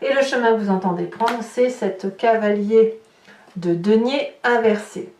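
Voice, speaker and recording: A woman's voice: medium pitch, measured pace, darkish tone, a little hiss or hum. A woman giving an unscripted tarot reading to viewers, repeating words for emphasis as she searches for the next one. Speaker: tarot reader, host of horoscope guidance videos. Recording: roomy echo, distant microphone.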